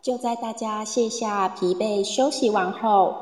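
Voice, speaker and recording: A woman's voice: medium pitch, measured pace, darkish tone, lightly acted. A woman playing an enthusiastic narrator, reading scripted narration for a travel radio play. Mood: bright wonder. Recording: echoey, reverberant sound.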